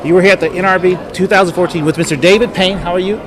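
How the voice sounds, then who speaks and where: A man hosting a TV show and interviewing a guest, upbeat and quick to laugh. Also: high voice, steady tone. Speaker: TV show host. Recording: noisy crowd nearby.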